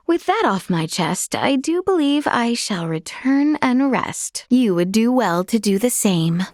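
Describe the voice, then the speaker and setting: Measured, courtly young princess knight. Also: female voice, a little high, no echo, studio quality. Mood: polite, candid. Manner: formal, courtly, measured diction. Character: gracious, earnest young princess knight in a fantasy game. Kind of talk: video game character dialogue.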